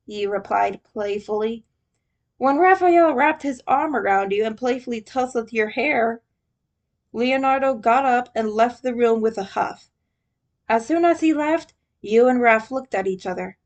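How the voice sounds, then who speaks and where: A woman's voice: fairly high pitch, a little slow. A woman narrating a fan fiction story from a script.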